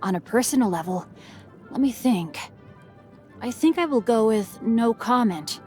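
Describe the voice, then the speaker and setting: A woman's voice: fairly high pitch, lively intonation, measured pace, mellow. A woman voicing a bookish, mischievous young noble boy in a video game, speaking in refined, formal phrases with a playful, teasing manner. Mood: thoughtful, polite. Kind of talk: scripted game character voicelines. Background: background music.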